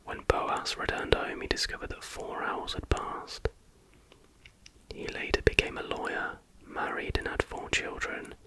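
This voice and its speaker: A man telling stories and commenting in a soft, breathy ASMR whisper, with deliberate delivery. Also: rough recording. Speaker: ASMR host.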